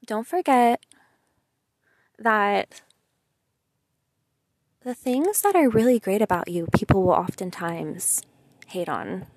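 A woman's voice: a little high, fairly bright tone, no echo, clean studio sound. A young woman with a sweet voice venting casually to listeners, chatty and emphatic, full of "like" and "you know". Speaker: vlogger.

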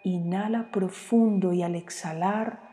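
A woman leads a guided meditation in a calm, soothing manner, leaving long pauses between short phrases. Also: lowish voice. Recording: long reverb, music behind. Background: music.

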